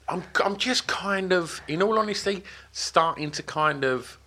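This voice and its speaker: A man's voice: high-pitched, takes it slowly, pushed and bright. A man in his forties hosting a podcast, chatting casually and rambling with plenty of fillers and restarts. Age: 40s. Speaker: podcast host. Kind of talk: casual interview chat. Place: office building in the city.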